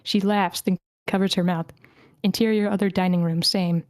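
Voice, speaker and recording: A woman's voice: on the low side, fairly even intonation, normal speed, darkish tone, no echo. Dry-sounding woman reading stage directions. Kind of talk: scripted narration at a screenplay table read.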